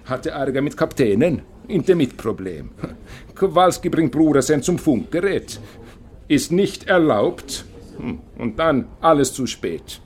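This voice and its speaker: An actor playing an evasive, stubborn ship's first officer with a Scandinavian accent and clipped, broken grammar in a radio drama. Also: male voice, fairly high pitch, sing-song, a little slow, a little mellow, a little hiss or hum. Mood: evasive, defensive.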